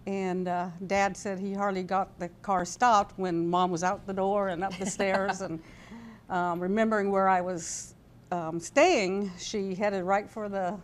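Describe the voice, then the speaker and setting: A woman in her sixties telling a personal story as an interview guest, halting and conversational. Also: mid-range voice, little pitch movement. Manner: halting, conversational, voices quoted dialogue. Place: indoor TV studio-style set at a convention. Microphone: lapel mic. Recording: background noise and room ambience.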